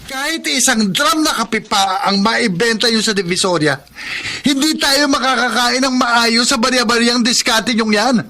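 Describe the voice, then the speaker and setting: Insolent, high-voiced Filipino teenage son. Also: male voice, moderate pace, pushed and bright, exaggerated voice. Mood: scornful. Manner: scornful, demanding. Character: insolent, resentful seventeen-year-old son turned robber. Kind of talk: radio drama acting.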